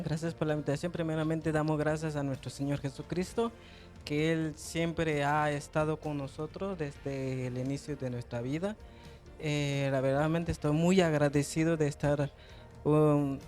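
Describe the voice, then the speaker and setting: Young Guatemalan man, higher-pitched voice. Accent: Guatemalan Spanish. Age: young adult. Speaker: guest giving a religious testimony. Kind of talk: personal testimony.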